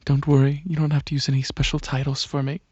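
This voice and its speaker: A man voicing a regal, courteous dragon alien prince in a soft-spoken ASMR roleplay, with a husky, breathy voice and a hesitant, musing, explaining manner. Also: medium pitch, flat delivery, quick pace, no echo, muffled recording, natural voice. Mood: soft, proud.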